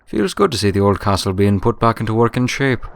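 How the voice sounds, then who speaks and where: A man's voice: on the low side, very animated, brisk, vocal fry, a little hiss or hum. A man voicing an elderly earth pony manservant in a storybook, deferential, folksy and plain-spoken. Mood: matter-of-fact. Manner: plain-spoken, polite, understated.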